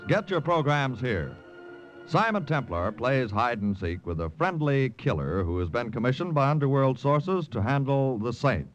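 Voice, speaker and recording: An American male announcer reads scripted lines in 1950s radio style. His mid-pitched voice moves in lively swings over faint music. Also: normal speed, lo-fi sound.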